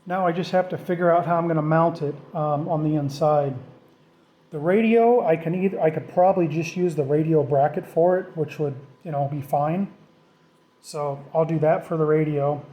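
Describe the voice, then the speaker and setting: Male DIY vlogger, slightly high pitch but soft, dark-edged tone, informal thinking-aloud delivery. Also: moderate pace. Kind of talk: casual off-the-cuff how-to narration.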